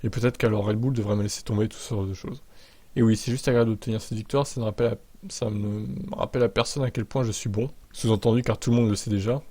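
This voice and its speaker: A man's voice: fairly low pitch, fairly even intonation, fast talker, warm tone, dry recording. A man hosting motorsport news, moving between narration and blunt, informal opinion. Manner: informal, sardonic, slangy. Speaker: motorsport commentator and video host.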